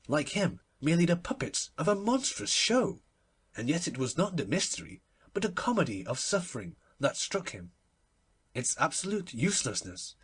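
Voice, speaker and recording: A man narrates classic literary fiction with a very husky, breathy voice set a little high. His delivery is measured and expressive, with lively rises and falls that shape each phrase of the story. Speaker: audiobook narrator.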